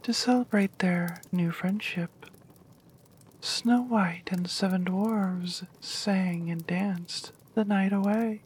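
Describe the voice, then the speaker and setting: A woman reading a fairy tale aloud in a soft whisper. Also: mid-range voice, slow, breathy edge, poor audio. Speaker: storyteller. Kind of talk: whispered bedtime story reading.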